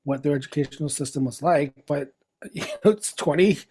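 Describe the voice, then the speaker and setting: A middle-aged man from the Philippines, a guest chatting casually in an interview, relaxed and chuckling as he talks. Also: on the high side, a little fast, slightly rough. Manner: relaxed, chuckling, trails off and restarts.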